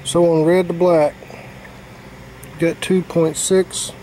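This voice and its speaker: A man's voice: on the high side, flat delivery, slow, mellow, a little hiss or hum, low-quality recording. A man working as an HVAC technician, talking through a repair step by step outdoors in a plain, casual way with many fillers. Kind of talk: casual hands-on repair demonstration. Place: outdoors beside an air-conditioning unit.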